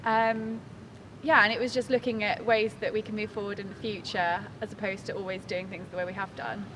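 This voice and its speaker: A woman's voice: medium pitch, flat delivery, fairly quick, fairly bright tone. Young woman, distant and echoing. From England. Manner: fluent, chatty. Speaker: youth rally participant. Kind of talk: casual interview. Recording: distant, reverberant, faint noise.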